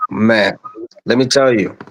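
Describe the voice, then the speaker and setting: A man in his fifties from Kansas, born in Colombia, telling his story casually and deliberately as a podcast guest. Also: medium pitch, slight background noise. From born in Colombia, raised in Kansas, USA. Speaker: podcast guest, lawn business owner.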